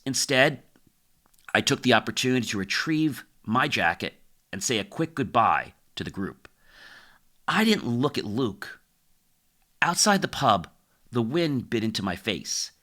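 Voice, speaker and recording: Animated male audiobook narrator. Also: medium pitch. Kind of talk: scripted first-person fiction narration, dramatic audiobook reading.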